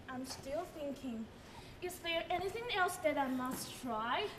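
A woman's voice: sits high, expressive, slow pace, noisy background. A young woman acting in a school stage skit in English, with exaggerated sighs and screams. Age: young adult. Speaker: student actor playing Bella. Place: large indoor stage.

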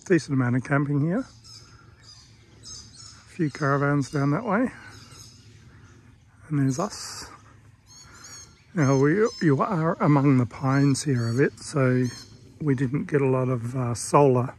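A man's voice: medium pitch, slow, long pauses, slight background noise. Easygoing Australian man vlogging outdoors. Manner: relaxed, chatty, with many fillers. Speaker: travel vlogger. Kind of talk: casual vlog to camera. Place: outdoors in a pine forest campsite. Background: birdsong and bush ambience.

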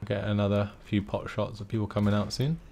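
A man's voice: lowish voice, little pitch movement, fast talker, vocal fry, a little mellow, a little hiss or hum. A man playing alongside a friend in a shooter, laid-back and laughing easily as he talks. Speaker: teammate in a game. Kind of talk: casual gameplay chat. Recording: faint game gunfire behind.

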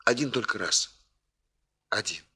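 An actor playing an earnest, impulsive, lovelorn young bridegroom with a husky voice and halting, intense phrases in a 1960s radio drama. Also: male voice, fairly low pitch, expressive, sharp tone, full character voice. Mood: yearning, earnest.

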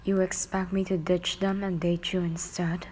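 A woman voicing a cool, protective teenage boy in a romantic roleplay, murmuring low and breathy with a curt manner. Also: little pitch movement, moderate pace, darkish tone, slight background noise, lightly acted. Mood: cold, scornful. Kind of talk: romantic fan-fiction roleplay ASMR.

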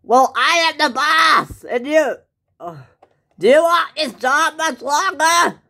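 A performer voicing a loud, bossy, irritable male store boss in a pretend-play story. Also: high-pitched, animated, fairly quick, voice pushed up. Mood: angry, threatening.

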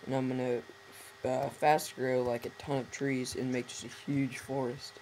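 A hoarse teenage boy with a gravelly, creaky voice rambles through a let's-play, correcting himself and talking to his viewers. He sounds like he is at a home desk mic, with audible background noise and keyboard typing. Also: mid-range voice, flat delivery, normal speed. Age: teens. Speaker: gameplay commentator. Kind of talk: casual let's-play commentary. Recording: noisy home recording with typing.

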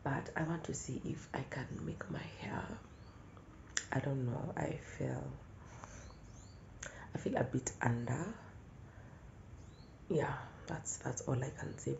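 Woman, congested cold voice, very low pitch, raspy fry, soft half-whispered delivery. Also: echoey room, lo-fi sound, a little hiss or hum. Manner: soft-spoken, drifting into whispers. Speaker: vlogger. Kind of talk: casual morning vlog talk. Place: outdoors in the morning with birdsong.

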